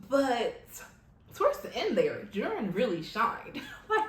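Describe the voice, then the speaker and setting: A woman's voice: fairly high pitch, wildly swooping pitch, slow. A woman hosting a casual reaction video, speaking in an effusive, giggly, gushing way as she comments. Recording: roomy echo, music playing behind. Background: pop music from the video being watched.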